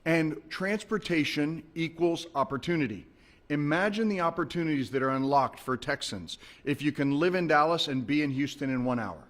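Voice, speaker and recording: A man's voice: a little high, expressive, normal speed, a bit bright. A man who chairs a legislative committee, speaking emphatically and rhetorically at a press conference, switching between two languages.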